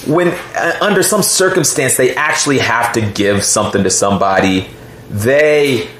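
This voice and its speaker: A man's voice: medium pitch, expressive, moderate pace, fairly bright tone, a little hiss or hum. A man in casual, opinionated conversation, speaking emphatically and indignantly and often repeating himself for stress. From United States. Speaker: host. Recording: echoey room.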